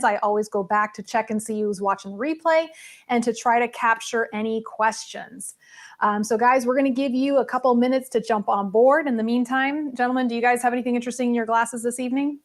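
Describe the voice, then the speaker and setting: A woman with a fairly high voice hosts a livestream. She talks in a chatty, informal way and addresses her viewers directly as a show host would. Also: fairly even intonation, a little fast. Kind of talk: casual livestream chat with viewers.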